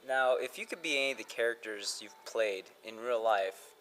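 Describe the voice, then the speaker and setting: A man's voice: mid-range voice, normal speed, a bit bright, big-room sound, slight background noise, low-quality recording. A man hosting an interview, asking plain, polite questions with some hesitation.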